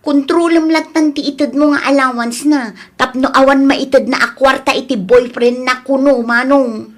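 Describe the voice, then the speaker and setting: An actress with an Ilocano Filipino accent playing a concerned, scolding adult daughter in an audio drama, earnest and admonishing. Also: female voice, sits high, steady tone, normal speed, lightly acted. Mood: worried, reporting.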